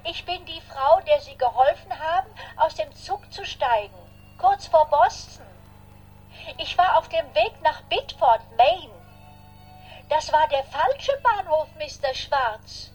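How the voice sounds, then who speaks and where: A woman's voice: sits high, animated, slow, darkish tone, soft background music, poor audio. An actress playing a chatty, polite old lady who rambles formally in a radio drama phone message. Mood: polite, earnest. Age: older.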